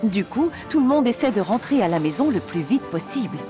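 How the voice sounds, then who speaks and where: Une femme qui raconte un dessin animé pour enfants, sur un ton clair de conteuse. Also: female voice, mid-range voice, very animated, fairly quick, loud music behind the voice. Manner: clear, storytelling. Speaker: narrator. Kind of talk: scripted children's story narration.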